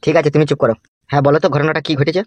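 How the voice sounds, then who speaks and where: Man voicing a fast-talking brash cartoon politician. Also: on the high side, little pitch movement, no echo, lightly acted. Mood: bossy, probing. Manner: bossy, coarse, swearing. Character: brash crude male village politician, bossy and scheming. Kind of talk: comedy cartoon dialogue.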